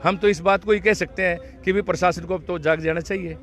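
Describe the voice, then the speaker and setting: A man addresses a protest crowd and then a reporter with earnest, formal appeals. His voice is high for a man. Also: fairly even intonation, a little fast, fairly bright tone. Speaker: protest speaker. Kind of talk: public address and interview.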